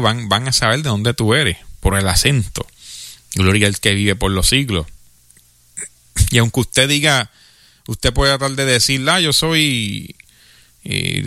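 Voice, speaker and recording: A man with a Puerto Rican accent preaching a sermon, reading scripture aloud and explaining it, filling his pauses with hallelujahs and chuckles. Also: mid-range voice, creaky, pushed and bright, dry recording, studio quality. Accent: Puerto Rican Spanish. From Puerto Rico. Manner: fills pauses with "hallelujah" and "glory to God", chuckling often.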